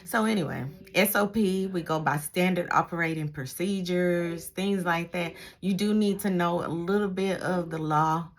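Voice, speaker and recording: Middle-aged American woman, slightly low register, informal vlog-style reminiscing with animated, emphatic storytelling. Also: moderate pace, hardly any pauses. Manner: animated storytelling, acts out little exchanges, repeats phrases for emphasis. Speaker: vlogger, retired police dispatcher. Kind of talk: casual reminiscing chat to camera.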